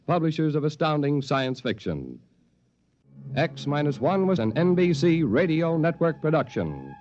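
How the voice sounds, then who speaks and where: A man's voice: a little high, sing-song, unhurried, darkish tone. An American man making formal announcements in a 1950s radio style. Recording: muffled vintage radio, faint music.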